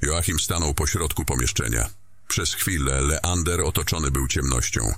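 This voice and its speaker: Extremely deep-voiced male fantasy narrator. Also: no echo. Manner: descriptive, deliberate storytelling. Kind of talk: scripted fantasy story narration.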